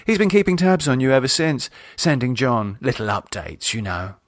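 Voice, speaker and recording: A man voicing a composed, teasing woman with a sharp edge and a polite, ironic manner in an audiobook. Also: medium pitch, normal speed, no echo, clean studio sound, lightly acted. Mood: matter-of-fact. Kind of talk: audiobook character dialogue.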